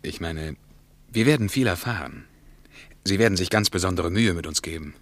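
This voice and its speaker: An actor playing a reflective German traveller and war veteran in a radio play, with an emphatic, musing delivery. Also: male voice, deep voice, normal speed, no echo, lightly acted. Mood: polite.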